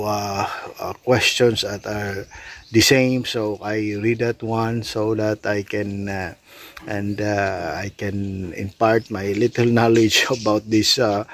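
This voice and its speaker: A man's voice: on the low side, creaky, a little hiss or hum. A middle-aged man with a Filipino Bisaya accent, talking casually and hesitantly in non-fluent English as he explains things to vlog viewers. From Philippines. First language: Bisaya (Cebuano). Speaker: swiftlet farmer and vlogger. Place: outdoors under a small tin-roofed bamboo shelter.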